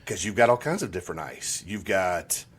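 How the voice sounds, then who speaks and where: Male co-host, husky voice, animated, playful and meandering. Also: sits low. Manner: rambling, playful, drawn-out. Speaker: podcast co-host. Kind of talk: casual chat.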